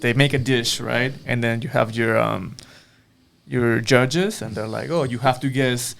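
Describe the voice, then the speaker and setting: A man's voice: medium pitch, measured pace, gravelly, fairly bright tone, slight background noise. A man chatting casually as a podcast co-host, retelling films in slangy, profanity-laced talk. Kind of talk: casual movie chat.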